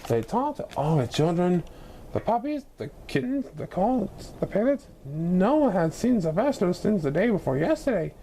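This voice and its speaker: Animated man reading a children's story. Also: sits high, moderate pace, a little hiss or hum. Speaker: story reader.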